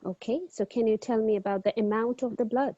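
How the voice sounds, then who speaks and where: Woman playing the doc in a mock exam, hesitant, mellow voice, muffled call audio. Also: on the high side, fairly even intonation, brisk, dry recording. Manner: polite, hesitant, many fillers. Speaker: candidate doctor in a mock exam. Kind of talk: clinical history taking in a role-play. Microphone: video call.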